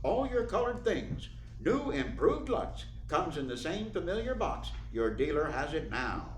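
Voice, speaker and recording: Man acting a lively old-time radio announcer. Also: fairly high pitch, unhurried. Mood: upbeat, selling. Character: polished, upbeat radio announcer and dramatic narrator. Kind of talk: 1940s radio acting, sponsor pitch and narration. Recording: roomy echo, faint noise.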